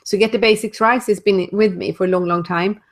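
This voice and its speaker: A woman with a Swedish accent, a guest answering interview questions over a video call, pausing to search for words. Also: mid-range voice, fairly even intonation. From Sweden. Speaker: guest, speaker and author.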